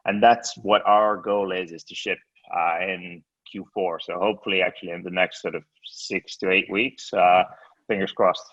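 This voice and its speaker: A man from Estonia talks in a very low voice, stopping and restarting his sentences and filling the gaps with "uh" and "um". The sound is dull and lo-fi, and the pitch stays deep throughout. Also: steady tone, moderate pace, a bit bright. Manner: hesitant, many fillers and restarts. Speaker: guest, exchange co-founder. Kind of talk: casual interview.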